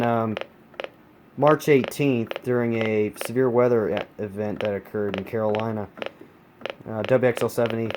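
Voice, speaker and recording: A man's voice: medium pitch, darkish tone. A man from the United States giving a casual, emphatic hobbyist product review, repeating words for stress. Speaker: collector reviewing his weather radios. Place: carpeted room at home. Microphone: handheld phone camera, speaker off screen. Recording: noisy home phone-camera recording.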